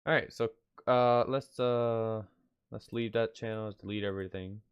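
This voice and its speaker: A man hosts a casual how-to video in a deep, clean voice, slightly nasal with a head cold. He rambles and hesitates in an offhand way. The sound is dry and close on the microphone. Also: fairly even intonation, normal speed, clean tone, no room sound, highs cut off. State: sick with stuffy nose. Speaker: video tutorial host. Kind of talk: casual unscripted software tutorial. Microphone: close mic, screen-recording voice-over.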